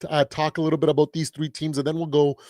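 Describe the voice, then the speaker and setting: A man hosting a casual sports talk livestream, his voice a little raspy while he gets over a cold, rambling with lots of restarts and fillers. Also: a little high, level tone, fast, dark tone, no echo, studio quality. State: recovering from a cold. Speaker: host.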